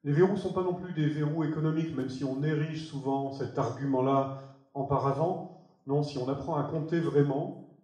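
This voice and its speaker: A man's voice: on the high side, normal speed, warm tone. A man in his forties with a French accent, giving an earnest, emphatic conference keynote on a large theater stage through a headset mic. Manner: earnest, emphatic, moving around the stage and gesturing. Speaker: keynote speaker. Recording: roomy hall sound.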